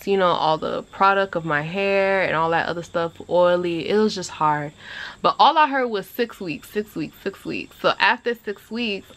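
A woman in her twenties chatting casually to a phone camera on an outdoor porch, animated and rambling. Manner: animated, chatty, rambling with lively pitch jumps. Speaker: vlogger. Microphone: phone camera at arm's length.

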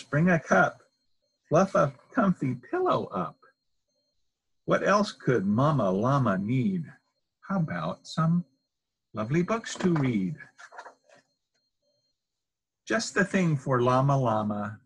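Middle-aged male storybook reader, husky tone, very lively pitch, sing-song delivery for young children. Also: rough recording. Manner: deliberate, sing-song read-aloud delivery. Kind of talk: reading a children's picture book aloud. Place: small indoor room.

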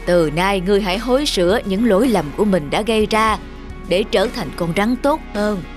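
Woman acting old king cobra, cartoon, authoritative, solemn, lowered pitch, measured, music behind. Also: natural voice. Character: long-lived king cobra, wise, stern, authoritative mentor. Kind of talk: animated fairy-tale character acting. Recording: music under the voice.

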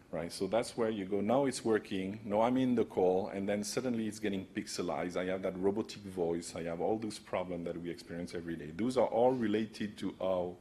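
Low-voiced man giving a conference talk. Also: a little mellow. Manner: casual explaining with frequent "right?" tags. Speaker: conference speaker. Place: conference room, large indoor hall. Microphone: podium microphone.

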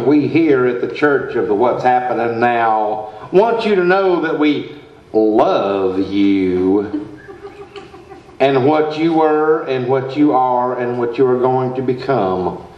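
Slow, folksy preacher played by a man. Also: mid-range voice, animated. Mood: earnest, unctuous. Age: middle-aged. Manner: slow, deliberate, sermonizing delivery. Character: folksy, earnest, slightly pompous small-town church preacher. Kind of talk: comic old-time radio sketch, read from script. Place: theater stage before a live audience. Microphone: stand microphone, heard in the hall. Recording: echoey, dull stage recording.

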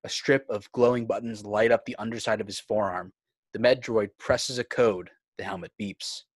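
A man's voice: fairly low pitch, steady tone, normal speed, husky, no room sound. A man narrating screenplay scene headings and action in a scripted read. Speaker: narrator reading stage directions. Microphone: video call.

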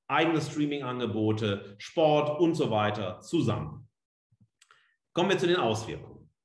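A middle-aged man, a professor giving an online lecture in a freely spoken, emphatic, conversational way. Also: medium pitch. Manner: emphatic, conversational, explanatory with rhetorical questions. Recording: roomy, echoing room sound.

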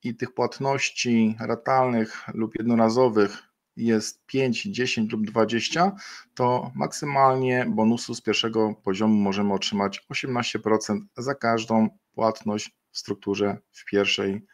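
Low-voiced man presenting a webinar. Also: steady tone, a little slow. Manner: unscripted, many fillers and self-corrections. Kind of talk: explaining a partner program over slides.

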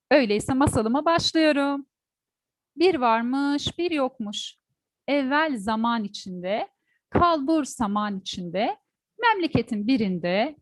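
High-voiced woman telling a folk tale. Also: no echo. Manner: expressive, asks the listeners questions. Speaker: storyteller; teacher. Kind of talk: oral folk-tale storytelling to an audience.

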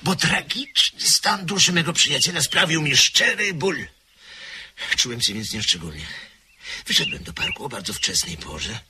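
An actor playing a nervous, short-sighted gentleman who stammers through an anxious retelling in a radio play. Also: on the high side, very animated, moderate pace. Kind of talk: radio drama acting. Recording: old radio play with faint hiss.

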